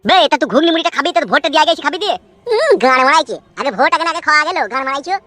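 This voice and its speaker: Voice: high voice, racing, bright, forceful tone, no room sound. A performer with a female-sounding voice playing a brash, foul-mouthed cartoon villager who argues in crude slang. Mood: irritated, bickering. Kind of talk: comic cartoon dialogue.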